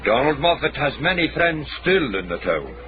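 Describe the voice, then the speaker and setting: An actor playing a plain-spoken, loyal Highlander with a Scottish accent, measured and courteous, in a period radio drama. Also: male voice, very animated, over a noisy crowd. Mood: earnest. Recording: dull, noisy old-radio style recording.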